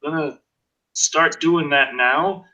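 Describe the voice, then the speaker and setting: A man's voice: fairly high pitch, slow, highs cut off. A man in his thirties hosting a livestream, chatting casually, joking and reading out chat donations. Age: 30s. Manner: animated, joking, reads chat donations aloud. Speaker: host of a livestream show.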